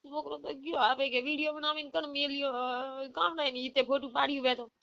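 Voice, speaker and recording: Woman, Rajasthani accent, high sharp voice, halting, complaining, dry muffled phone recording. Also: steady tone, vocal fry, no echo. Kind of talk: casual phone conversation. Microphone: telephone. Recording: dull lo-fi phone call recording.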